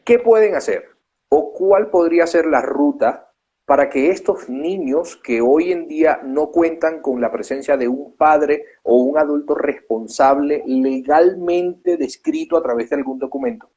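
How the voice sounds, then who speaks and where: A man's voice: fairly high pitch, moderate pace, warm tone, husky, lo-fi sound. A man hosting an interview, asking long, earnest questions and summing up the guest's answers. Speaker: interview host.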